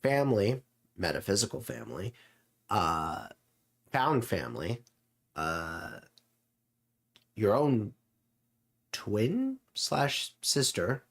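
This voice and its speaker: Slow, halting, casual show host. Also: mid-range voice, sing-song. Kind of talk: casual banter in character.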